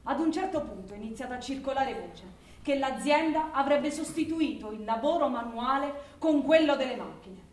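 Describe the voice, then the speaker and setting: A woman's voice: sits high, animated, moderate pace. A woman playing a proud, feisty working woman with an Abruzzese accent, telling her story in a colloquial theatrical monologue. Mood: narrating. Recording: roomy, distant microphone.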